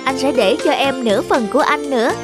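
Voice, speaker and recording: Woman doing cartoon big brother kid, gentle, responsible, high young voice. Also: a little fast, music in the background, natural voice. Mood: happy. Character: kind, responsible older boy, protective big brother. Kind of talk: cartoon voice acting.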